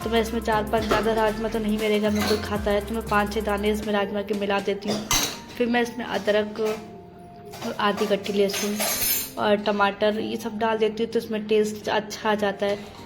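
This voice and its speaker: A young woman homemaker narrating her daily chores in a steady, matter-of-fact voice-over, step by step. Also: medium pitch, moderate pace. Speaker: homemaker vlogger. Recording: voice-over with loud background music.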